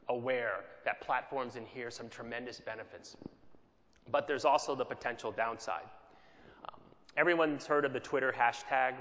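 Keynote speaker in a reverberant hall. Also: male voice, mid-range voice, a bit bright. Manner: conversational, checks in with "right?" often. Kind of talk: conference keynote talk. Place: conference hall.

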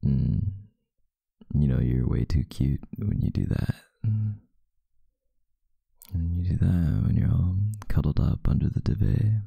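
A young man doing an intimate ASMR boyfriend roleplay as a sleepy, affectionate, teasing partner in bed, murmuring softly and drowsily close to the microphone. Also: low voice, expressive, a little slow, long pauses, no room sound, lo-fi sound, faint music behind. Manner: soft, drowsy murmuring, partly breathy and whispered. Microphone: close microphone, dry sound.

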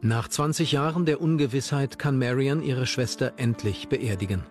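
A man's voice: medium pitch, moderate pace. Male true-crime narrator over music. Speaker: documentary narrator. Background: background music.

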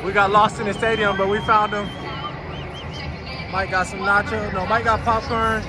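A man in his thirties talking casually and excitedly into a phone camera at a football game, outdoors and then inside a big stadium. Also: high-pitched, normal speed. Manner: laid-back, excited, slangy. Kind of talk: casual handheld vlog chat. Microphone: phone camera at arm's length. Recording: loud crowd and music, wind noise.

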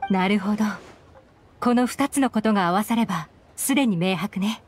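An actress playing a composed, shrewd young woman investigator in a game, explaining things in a measured way. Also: medium pitch, natural voice. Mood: analytical, assured. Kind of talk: Japanese game voice acting. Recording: game music behind the voice. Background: background game music.

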